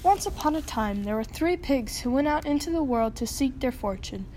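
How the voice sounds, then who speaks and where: A woman's voice: high-pitched, expressive, normal speed, warm tone, a little hiss or hum. A woman reading a children's story aloud as its narrator, in scripted storytelling style. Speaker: storybook reader.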